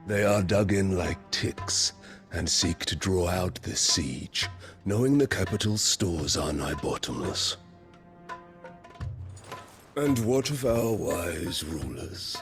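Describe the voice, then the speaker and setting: Game role: scornful male war lord, deep and deliberate, grave, blunt, music underneath. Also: heavily acted. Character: proud, scornful warrior lord advising on war. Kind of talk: dramatic video game cutscene acting.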